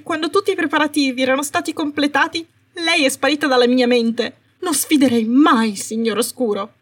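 A woman's voice: high-pitched, a bit bright, pitched above the performer's own voice. A woman voicing a suave but flustered female prison official, servile, defensive and pleading, with a sugary, stammering manner. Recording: roomy, distant microphone.

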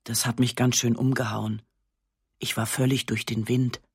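A woman narrating a literary text in the first person, with a measured, restrained and sober delivery on a close studio microphone. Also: extremely low pitch, little pitch movement, breathy edge, dry recording. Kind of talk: literary reading of a memoir-like novel.

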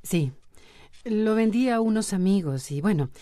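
A woman's voice: mid-range voice, expressive, measured pace, mellow, dry recording, lightly acted. An actress with a Latin American accent playing a troubled, secretive woman in a radio soap opera, hesitant and confiding. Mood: uneasy, confiding. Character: troubled, secretive woman reluctantly confessing to her friend.

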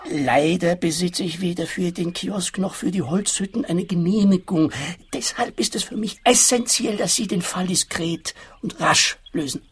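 An actor with a husky, high voice and a Swiss accent plays a fussy, shifty forest owner in a comic radio play. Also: male voice, normal speed. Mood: earnest, furtive. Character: fussy, shifty male forest owner, small-time schemer. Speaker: client character. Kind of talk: comic radio detective drama.

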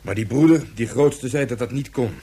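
An actor playing a gruff, casual man in a radio drama, speaking colloquially with a husky voice. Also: medium pitch, moderate pace, dark tone, close to an everyday voice. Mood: gruff, reassuring.

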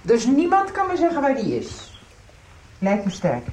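Insistent worried mother, very animated. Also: a little high, unhurried, roomy sound, slight background noise, poor audio. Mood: worried, probing. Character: worried, insistent mother searching for missing son. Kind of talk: 1990s radio drama acting.

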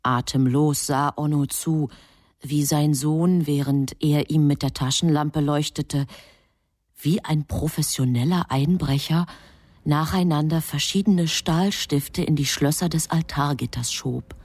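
A woman's voice: low voice, steady tone, unhurried, a little mellow, no echo, clean studio sound. A woman reading scripted literary narration as the narrator of a radio drama.

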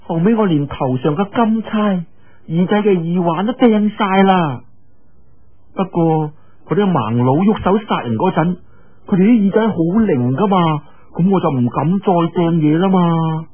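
A man's voice: sits high, expressive, unhurried, breathy edge, narrow, tinny audio, full character voice. A man with a Cantonese accent voicing a playful, spirited young swordswoman in a martial-arts audiobook, in a light, coy manner.

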